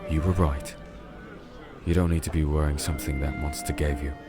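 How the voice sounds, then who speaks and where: Man playing a brooding low-voiced crusader. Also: steady tone, brisk. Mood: protective. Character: disenchanted male crusader, weary, gruff, protective, narrating his tale. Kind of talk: dramatic audio drama acting and first-person narration. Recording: music playing behind.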